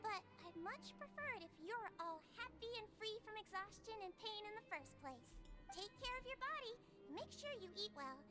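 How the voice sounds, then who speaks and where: Small nonhuman nurse for a video game: extremely high, gentle, childlike, caring delivery. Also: female voice, expressive, moderate pace, over music. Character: small gentle Melusine nurse, young female creature, sweet and caring.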